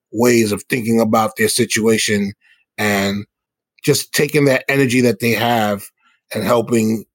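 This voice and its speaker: A man's voice: lowish voice, no room sound. A middle-aged man from the South Bronx, answering interview questions in an earnest, explanatory, conversational way. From South Bronx, New York City. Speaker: hip hop musician and guest.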